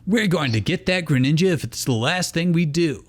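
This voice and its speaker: Man acting out a bratty, boastful boy trainer, pitched up. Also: lively intonation, normal speed. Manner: boastful, snapping.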